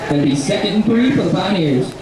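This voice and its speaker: A man's voice: high-pitched, expressive, fast. A man making brief football announcements as a stadium public address announcer. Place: outdoor football stadium loudspeakers, heard from afar. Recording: echoing stadium PA, distant, crowd noise.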